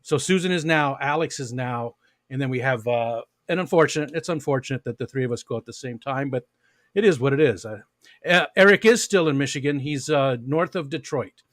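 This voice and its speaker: A man's voice: mid-range voice, brisk, fairly bright tone, no echo, studio quality. A man hosting a casual livestream chat, rambling and friendly, with plenty of fillers. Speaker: livestream host.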